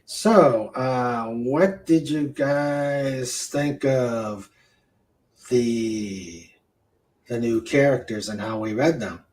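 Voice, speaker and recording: Man, host, casual chat, unhurried, halting delivery with fillers. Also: medium pitch, darkish tone. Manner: slow, halting, with many fillers.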